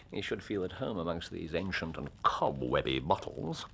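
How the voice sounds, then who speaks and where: An actor playing a brilliant, self-assured Victorian detective, with a British accent and precise, wry, theatrical diction. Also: male voice, low-pitched, sing-song, moderate pace, gravelly, exaggerated voice. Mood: amused, urbane. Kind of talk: period mystery drama acting.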